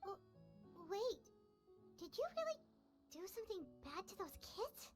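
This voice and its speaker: A woman voicing a small, excitable female fairy companion in a video game, chattering with lots of hesitant fillers. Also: very high-pitched, a little slow, heavily acted. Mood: eager. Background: game music.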